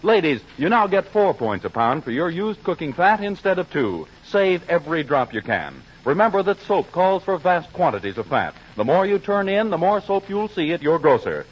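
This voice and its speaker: A man's voice: a little high, very animated, moderate pace, few pauses, slightly rough. A man delivering a scripted advertisement as a 1940s radio announcer. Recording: muffled old radio broadcast, audience noise.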